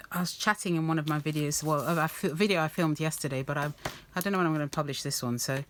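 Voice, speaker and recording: A woman rambling softly with many ums and restarts as she works on an art journal page, her voice a little croaky. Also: low voice, slight background noise. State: slightly croaky voice. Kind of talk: casual craft-along chat.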